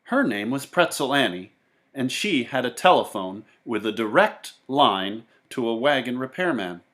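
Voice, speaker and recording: A man reading a children's story aloud in a theatrical, expressive way. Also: mid-range voice, normal speed. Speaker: storybook reader. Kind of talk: animated children's story narration.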